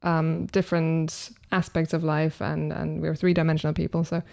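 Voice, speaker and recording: Podcast host lady, flat mellow tone, dry teasing humor. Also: sits low, moderate pace, gravelly. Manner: wry, teasing. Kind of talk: casual chat.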